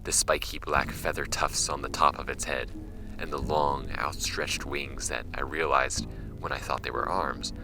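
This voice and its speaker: An audio drama archivist, played by a man, reads case files in an extremely deep voice. It crackles with vocal fry, and music plays clearly underneath. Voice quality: creaky, very deep. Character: dry, skeptical male archivist recording case notes. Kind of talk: scripted audio drama narration, reading case files aloud.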